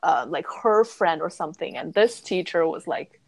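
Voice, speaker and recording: A woman in her thirties from China, a guest English teacher, talking in an unhurried, thoughtful way with frequent fillers. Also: fairly low pitch, expressive, darkish tone. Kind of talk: casual interview chat.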